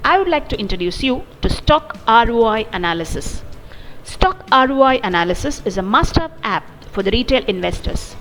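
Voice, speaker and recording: A woman narrating an explanatory software demo in a methodical, step-by-step way. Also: on the high side, big pitch swings, normal speed, a little hiss or hum, low-quality recording. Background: background music.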